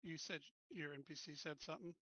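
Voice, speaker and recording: Hesitant young-sounding male player, bright tone. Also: on the high side, fairly quick, dry recording. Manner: hesitant, questioning. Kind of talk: casual tabletop play. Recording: dull, lo-fi.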